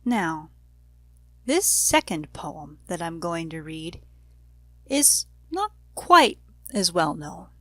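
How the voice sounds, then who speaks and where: A woman reading rhyming children's verse aloud as an expressive storybook narrator. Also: medium pitch, dry recording, clean studio sound. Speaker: storybook reader.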